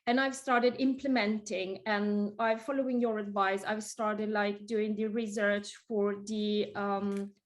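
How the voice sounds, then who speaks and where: A woman's voice: mid-range voice, little pitch movement, unhurried, few pauses. A woman whose first language is Italian talks about her work on a video call. She rambles in a friendly, chatty way, trailing off and restarting often, with frequent "like" and "um". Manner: chatty, many fillers and restarts. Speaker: guest interior designer. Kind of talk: casual video call chat.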